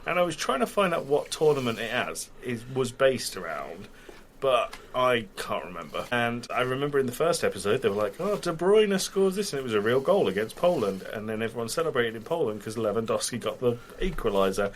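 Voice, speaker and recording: A man's voice: mid-range voice, sing-song, brisk, a little hiss or hum. A British man chatting casually as a podcast host, giving blunt, critical opinions. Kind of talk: casual chat, opinionated review.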